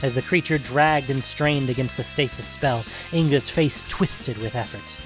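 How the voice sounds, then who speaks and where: Male storyteller, mid-range voice, suspenseful measured delivery, scripted fantasy narration, clear musical backing. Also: mid-range voice, over music.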